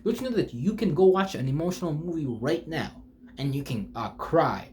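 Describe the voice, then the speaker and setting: A man's voice: on the high side, animated, moderate pace, darkish tone, slight background noise. A man giving an off-the-cuff, rambling motivational talk as a spiritual speaker, informal, slangy and profane, repeating himself for emphasis and putting rhetorical questions.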